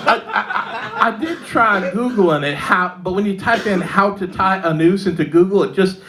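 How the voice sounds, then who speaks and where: A woman with a Texan accent performing a stand-up set in a pub comedy club, delivering dark jokes deadpan, with lots of fillers and little laughs at her own lines. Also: mid-range voice, normal speed, crowd noise. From Texas. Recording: live club mic, loud audience laughter.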